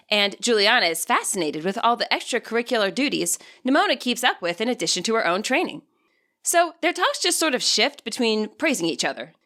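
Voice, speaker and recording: A woman reading scripted fiction aloud as an expressive audiobook narrator. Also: a little high.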